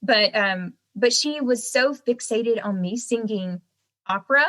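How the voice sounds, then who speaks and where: A young adult woman with a Southern American accent, telling stories as a guest in a casual interview, animated and laughing often. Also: a little high, measured pace. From Southern United States. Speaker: guest singer-songwriter.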